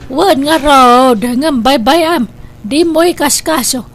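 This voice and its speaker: A woman's voice: high voice, takes it slowly. A woman acting a mild, forbearing grown daughter of about thirty in an Ilocano comedy drama, with an Ilocano accent. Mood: mild, weary. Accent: Ilocano, northern Philippines.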